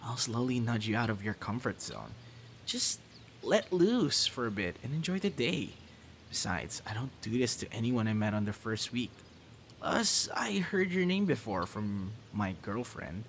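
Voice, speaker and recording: A man's voice: lowish voice, big pitch swings, normal speed, a little hiss or hum. A man playing an easygoing, teasing young guy in an ASMR boyfriend roleplay, talking casually and straight to the listener. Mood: reassuring, playful. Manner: casual, teasing, soft chuckles and sighs.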